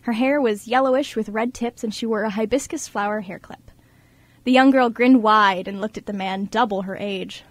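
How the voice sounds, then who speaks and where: A woman's voice: fairly high pitch, moderate pace, no echo. A young woman on a student radio show, speaking casually and narrating scripts. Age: young adult. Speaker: board operator and narrator. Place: radio studio.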